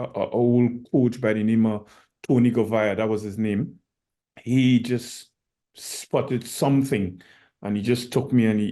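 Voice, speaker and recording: A middle-aged man from Trinidad and Tobago, an assistant coach answering interview questions in a measured way, with many pauses. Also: on the low side, dark tone.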